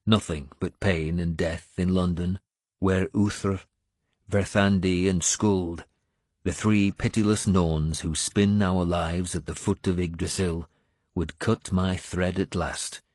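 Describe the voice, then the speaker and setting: A man narrating first-person historical fiction as a scripted audiobook, with measured, dramatic storytelling. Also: sits low, fairly even intonation, a little mellow, no echo, studio quality.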